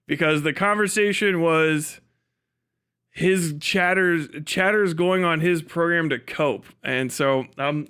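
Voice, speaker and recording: High, bright-voiced man chatting on stream. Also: clear, resonant tone. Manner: animated, sweary, mocking. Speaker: live stream host. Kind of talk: casual livestream chat to viewers.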